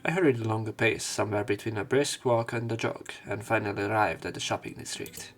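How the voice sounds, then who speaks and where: A man's voice: fairly low pitch, fairly quick, faint music behind. A man hosting a let's play, reading game text aloud and adding casual, sweary asides.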